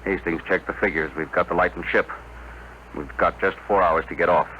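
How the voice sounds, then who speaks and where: A man's voice: low voice, steady tone, brisk, slight background noise, lightly acted. Muffled old radio recording, man playing stern spaceship captain, clipped and commanding, fifties sci-fi drama. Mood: grim. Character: decisive male spaceship captain, stern and burdened. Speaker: radio actor. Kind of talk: 1950s radio drama acting.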